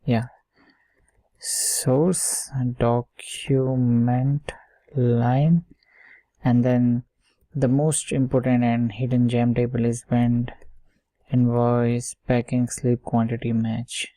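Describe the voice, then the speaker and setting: Slow, flat-voiced man teaching coding. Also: mid-range voice, no room sound. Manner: explains step by step, often checking with "okay" and "right". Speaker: software tutorial instructor. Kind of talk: informal screen-share coding tutorial.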